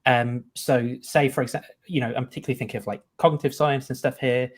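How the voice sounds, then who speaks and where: A man with an ordinary mid-pitched voice hosts an interview and puts careful questions to his guests. He hedges as he talks, trailing off and restarting, with plenty of "um" and "you know". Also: fairly even intonation, fast talker, breathy edge. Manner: searching, hedging questions with fillers. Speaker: host, interviewer.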